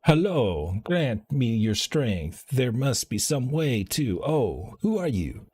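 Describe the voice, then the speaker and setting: A man's voice: medium pitch, very animated, takes it slowly, a little mellow, husky, voice pushed up, heavily acted. A man voicing an anxious, apologetic member of a noble house in a fantasy game, earnest and faltering. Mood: worried. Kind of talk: acting out game dialogue aloud.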